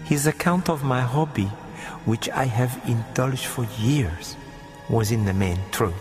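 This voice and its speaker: An actor playing a sinister, leisurely murderer in a radio horror drama, speaking formally and precisely with mocking courtesy, with music under the voice. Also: male voice, mid-range voice, unhurried, long reverb tail, natural voice. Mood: smug, menacing. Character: suave, sinister male murderer, icily polite and leisurely.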